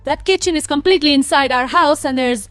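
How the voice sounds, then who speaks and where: A woman's voice: sits high, a little fast, a bit bright, dry recording. A woman playing a weary, aggrieved young daughter-in-law in a cartoon family story. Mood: pleading, annoyed.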